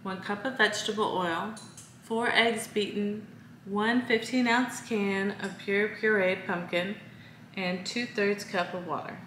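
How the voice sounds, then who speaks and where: A woman in her thirties guides viewers through a recipe at an unhurried pace, pausing between steps. Her voice is bright and clear. The kitchen gives a roomy echo with faint background noise. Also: mid-range voice, fairly even intonation. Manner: slow, step-by-step instructions with fillers. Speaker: home cooking host. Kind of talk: casual recipe walkthrough. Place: home kitchen, indoors.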